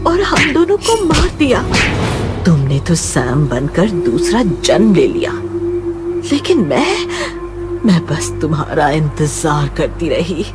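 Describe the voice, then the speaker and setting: A woman's voice: low-pitched, huge pitch swings, slow, loud music behind the voice, exaggerated voice. Woman voicing a coquettish, possessive young ghost woman. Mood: eerie, longing. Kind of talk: dramatic horror storytelling.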